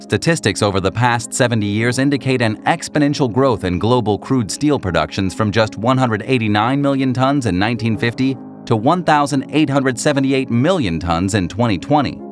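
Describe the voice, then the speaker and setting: A man reading scripted documentary narration in a measured, explanatory manner. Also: medium pitch, soft background music.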